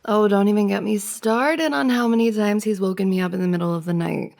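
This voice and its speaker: A woman's voice: mid-range voice, a little fast, gravelly, darkish tone, close to an everyday voice. A woman playing a friend's giggly, teasing older sister in an intimate, conversational ASMR roleplay. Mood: amused banter.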